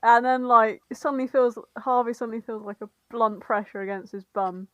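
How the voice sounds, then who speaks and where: A woman's voice: on the high side, steady tone, a little fast, fairly bright tone, no echo, poor audio. A woman chats through a story like she is among friends, rambling and irreverent. She is prone to giggles, and the recording is close and dry. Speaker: podcast co-host reading and summarising fan fiction. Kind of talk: casual comedic storytelling chat.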